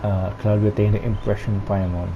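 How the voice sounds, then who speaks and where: A game streamer with a Filipino accent giving casual, halting gameplay commentary. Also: low voice, little pitch movement. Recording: dull lo-fi mic over game music.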